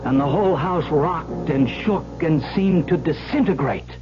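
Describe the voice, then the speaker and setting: A man's voice: fairly high pitch, unhurried, natural voice. An actor playing an earnest, desperate young man in a 1970s radio drama, speaking with theatrical intensity. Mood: dramatic dread. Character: earnest, desperate young gambler heir, Barry. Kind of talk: 1970s radio drama acting, first-person narration. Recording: dull vintage radio recording, music behind.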